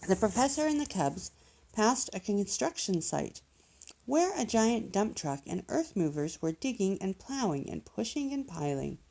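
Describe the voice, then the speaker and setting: A woman narrating a children's storybook in an expressive read-aloud style. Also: mid-range voice, measured pace, vocal fry, rough recording. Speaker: storybook reader.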